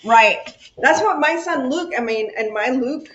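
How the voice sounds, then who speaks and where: A woman's voice: sits high, expressive, measured pace, fairly bright tone, slight background noise. A woman hosting a casual craft chat, talkative and rambling, laughing easily as she works. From Cuba. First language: Spanish. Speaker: craft channel host. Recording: echoey room, dull lo-fi sound.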